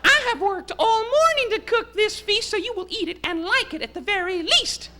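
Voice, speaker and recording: A man voicing a bossy, scolding giant's wife in a storybook tale, pitched up high and shrill. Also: pushed and bright, slight background noise, heavily acted. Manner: nagging, sing-song scolding.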